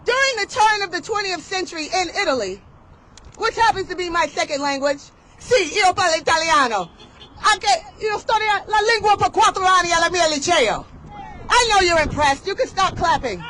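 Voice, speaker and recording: A woman's voice: extremely high pitch, lively intonation, normal speed, sharp tone, a faint crowd, slight background noise, low-quality recording. A woman giving an outdoor motivational rally speech, leading call-and-response with rhythmic, emphatic, rhyming delivery. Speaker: rally speaker.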